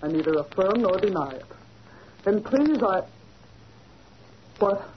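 A woman's voice: medium pitch, expressive, a little slow, natural voice. A woman playing an anxious but defiant married lady in a 1940s radio melodrama, with formal, dramatic delivery. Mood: guarded, defiant. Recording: muffled, noisy old radio recording.

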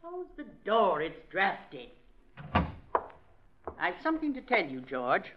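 Cold, commanding aunt, lively voice, lo-fi old radio play. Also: female voice, fairly low pitch, unhurried, full character voice. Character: domineering, suspicious older aunt. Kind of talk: 1940s radio acting. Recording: dull old radio recording.

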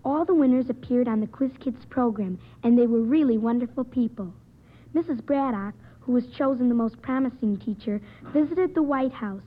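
A woman's voice: fairly high pitch, normal speed, phone-quality sound. A young American woman reading a prepared report on 1950s radio, precise and formal. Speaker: quiz show panelist. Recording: thin vintage 1950 radio recording.

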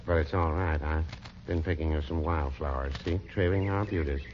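An actor playing a mild, prim, deadpan older small-town druggist in a 1940s radio comedy. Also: male voice, a bass voice, normal speed. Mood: mild, chatty. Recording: dull, noisy old radio recording.

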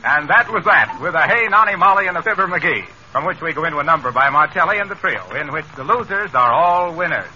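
A man's voice: on the high side, expressive, fast talker, hardly any pauses, sharp tone. A man announcing on an old-time radio show in a period broadcast style. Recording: dull vintage radio recording, faint music.

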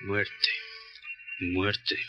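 An actor playing a weary, resigned Puerto Rican immigrant man with a Spanish accent, speaking halting simple English in an old radio crime drama. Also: low-pitched, completely flat delivery, no room sound, lo-fi sound, soft background music. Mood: stricken. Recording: old radio recording, faint noise.

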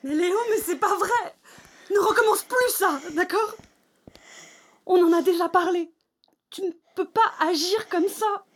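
High-voiced anxious mother. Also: a little slow, long pauses. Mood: panicked, scolding. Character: anxious, scolding but loving young mother. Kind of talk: naturalistic audio fiction acting.

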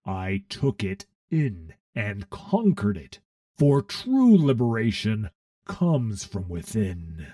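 A commanding half-machine warlord preaches with grandiose zeal in an audio story. His voice is low and dark, and he speaks slowly and solemnly, as if every word is a decree. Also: huge pitch swings, no room sound, clean studio sound, full character voice. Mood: fervent, commanding. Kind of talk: dramatic audio story acting.

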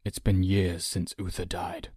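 A man's voice: low-pitched, little pitch movement, measured pace, mellow, slightly rough, no echo, studio quality. A man voicing a reluctant, protective farmer father, weary and stern, in a storybook reading. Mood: reluctant, wary. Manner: heavy, weary, firm.

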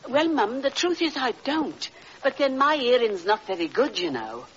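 An actress playing a deferential, evasive housemaid in a 1940s radio drama, servile and hedging. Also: female voice, on the high side, big pitch swings, moderate pace. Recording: distant, hissy, dull old radio recording.

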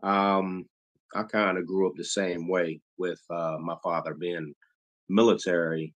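A middle-aged man from Chicago hosts a relaxed interview in a very low voice. He talks slowly and unhurriedly, filling pauses with ums. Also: lo-fi sound. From Chicago, USA. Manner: slow, unhurried talker with frequent ums. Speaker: podcast host. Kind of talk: casual interview chat.

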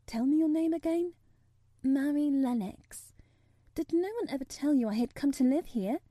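A woman's voice: sits high, moderate pace, warm tone, no echo, studio quality, pitched above the performer's own voice, lightly acted. A woman voicing a curious, forthright young girl, a little frightened, with emphatic questions in a storybook reading. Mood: curious, surprised. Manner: gasping, faltering, emphatic questions.

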